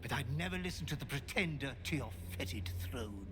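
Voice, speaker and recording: An actor playing a fawning, ghoulish butler in a video game, obsequious, theatrical and gleefully macabre. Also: male voice, medium pitch, expressive, brisk, background noise, lightly acted. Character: fawning, ghoulish goblin-like butler to a murder cult. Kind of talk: video game character acting.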